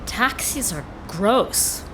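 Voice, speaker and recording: A woman's voice: medium pitch, expressive, extremely slow, background noise. A woman playing a fussy, blunt young companion who tends to complain in a radio drama.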